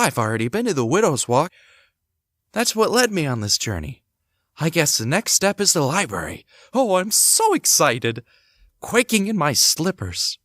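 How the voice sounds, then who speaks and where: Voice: mid-range voice, dry recording, clean studio sound, exaggerated voice. An actor playing a curious amateur sleuth in a hobby audio drama, speaking expressively. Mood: excited. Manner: expressive, swinging between musing and exclaiming. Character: curious amateur sleuth exploring an old house. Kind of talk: hobby audio drama acting, thinking aloud.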